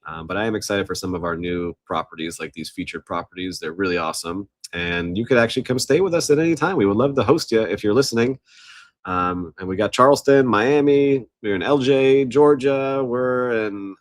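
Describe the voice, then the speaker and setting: A man's voice: on the low side, brisk, dry recording, studio quality. A man co-hosting a casual business podcast, upbeat and promotional, coming down with a cold. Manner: upbeat, promotional, joking asides. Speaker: podcast co-host. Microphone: studio mic.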